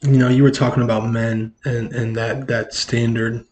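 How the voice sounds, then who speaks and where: Loud-voiced man, always asking "right?". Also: mid-range voice, flat delivery, moderate pace, slight background noise. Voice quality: loud voice that carries. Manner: tags sentences with "right?"; candid, repeats and restarts words. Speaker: social worker guest. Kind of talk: casual conversation.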